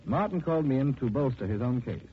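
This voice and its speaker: An actor playing a confident, composed detective, urbane and self-assured in 1940s radio style. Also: male voice, mid-range voice, animated, fast, lightly acted. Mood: calm, assured. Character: confident, composed male detective, calmly in charge. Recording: dull, band-limited, noisy 1940s radio recording.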